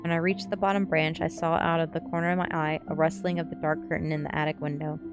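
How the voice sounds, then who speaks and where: Woman, low pitch, storybook narration, ASMR stream reading, small stumbles, faint music behind. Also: flat delivery, fast talker. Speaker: streamer reading a novel aloud.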